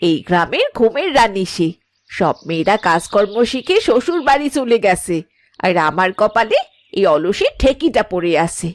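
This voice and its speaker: A woman voicing an exasperated middle-aged village mother in an animated cartoon, nagging with sharp-tongued emphasis. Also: medium pitch, moderate pace, no echo, close to an everyday voice.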